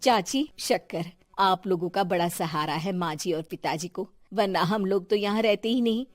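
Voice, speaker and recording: A woman voicing a dutiful, calm eldest daughter-in-law, respectful and composed, in a cartoon story. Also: mid-range voice, normal speed, no room sound, close to an everyday voice. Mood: caring, respectful.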